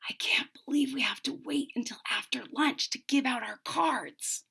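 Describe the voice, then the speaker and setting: A woman voicing an excitable, easily crushed young boy turtle in a storybook, with plaintive delivery. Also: high voice, moderate pace, vocal fry, breathy edge, voice pushed up, exaggerated voice. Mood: hushed, impatient.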